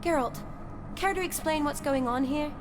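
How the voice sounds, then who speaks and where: Woman playing a high-voiced, spirited young woman. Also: normal speed, background noise, close to an everyday voice. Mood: alarmed, exasperated. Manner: wry, questioning, direct. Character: spirited young woman, exasperated but wryly composed. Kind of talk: video game acting. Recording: game music behind.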